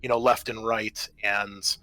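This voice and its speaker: A man acting as game master, improvising narration and explaining rules, often pausing mid-sentence as he thinks. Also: lowish voice, flat delivery, bright, forceful tone, dry recording, rough recording. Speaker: game master and host of a tabletop roleplaying session. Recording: noticeable background room noise.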